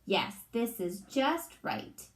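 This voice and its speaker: A woman voicing a curious, prim little girl from a fairy tale, with exclaiming, drawn-out reactions. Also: fairly high pitch, wildly swooping pitch, exaggerated voice. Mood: matter-of-fact.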